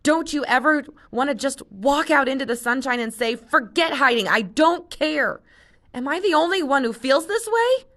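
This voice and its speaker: A woman voicing a fierce, defiant teenage girl from a read-aloud story, talking loud and insistent. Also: sits high, expressive, normal speed, pitched above the performer's own voice.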